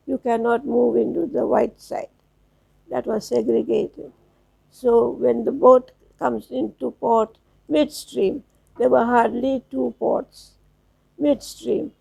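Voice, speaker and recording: Gravelly-voiced woman in her nineties. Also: fairly high pitch, dark tone, low-quality recording. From South Africa. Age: 90s. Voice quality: gravelly, husky. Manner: slow, halting storytelling with restarts. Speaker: interview guest. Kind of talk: reminiscing in a casual interview.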